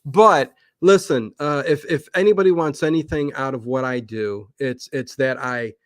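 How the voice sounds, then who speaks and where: A man's voice: medium pitch, moderate pace, dry recording. A man with a mid-range voice speaks in a casual, rambling way as an interview guest. He often restarts his sentences as he thinks aloud. The sound is dry, with no room on it. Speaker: guest, online old-time radio channel creator. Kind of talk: casual livestream interview chat.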